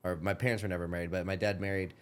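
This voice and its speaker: Man, 30s, podcast host, low voice, loose rambling talk, restarts, swears casually. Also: flat delivery, very fast, clear, resonant tone. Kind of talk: casual chat.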